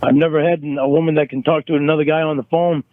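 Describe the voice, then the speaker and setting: A man in a recorded phone argument, talking in a halting, defensive way and repeating words as he restarts. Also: on the high side, level tone, dry recording. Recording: tinny phone line recording.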